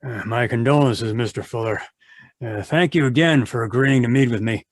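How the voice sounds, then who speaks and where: A man's voice: medium pitch, normal speed, no echo, natural voice. A man acting as a polite, probing newspaper reporter in an old-time radio mystery. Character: inquisitive, polite but shrewd male newspaper reporter. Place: remote video call performance. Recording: live video-call recording, dry.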